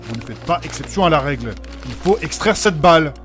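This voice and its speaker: Man playing a fussy, timid, high-voiced mage. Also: expressive, a little fast, a bit bright, faint music behind. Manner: formal, apologetic, prissy. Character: timid, fussy, over-polite bumbling male mage assistant. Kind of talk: comic audio drama acting.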